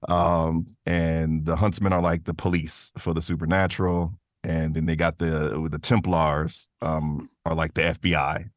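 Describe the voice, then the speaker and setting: Low-voiced man chatting casually. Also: level tone, measured pace, few pauses, dry recording, clean studio sound. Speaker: interview guest, voice actor and creator. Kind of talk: casual conversational storytelling.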